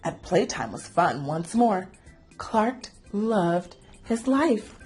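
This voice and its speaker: A woman storyteller reading aloud for children, animated and engaging listeners with questions. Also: medium pitch, slow, music in the background. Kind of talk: expressive children's read-aloud narration.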